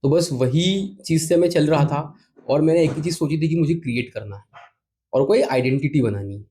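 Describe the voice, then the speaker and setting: A man's voice: a little high, brisk, mellow, a little hiss or hum. A man from India with an Indian accent, answering interview questions in a polite, explanatory, conversational way. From Indore, India. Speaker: interview guest, entrepreneur. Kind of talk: conversational interview answers mixing Hindi and English.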